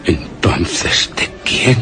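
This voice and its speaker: A man's voice: extremely slow, sharp tone, faint music behind. An actor with a Castilian Spanish accent playing a nervous man in a gloomy haunted castle, muttering theatrically in a radio horror drama. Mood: fearful. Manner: theatrical, muttering tensely to himself.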